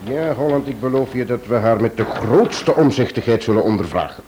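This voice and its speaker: An actor playing a senior police official who talks in a firm, businesslike way in a radio play. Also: male voice, mid-range voice, lively intonation, brisk, mellow, slight background noise, natural voice. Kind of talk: vintage radio play acting.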